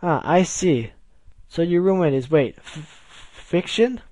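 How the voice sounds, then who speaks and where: Man playing a formal, earnest alien gentleman. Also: a little high, unhurried, no room sound, lightly acted. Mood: puzzled. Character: polite, earnest, bookish male alien visitor.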